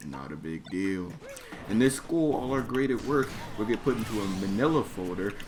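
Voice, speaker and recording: A man's voice: on the low side, animated, moderate pace. A man telling a personal story in an emphatic, exasperated way, with plenty of swearing and slang. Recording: clearly noisy background.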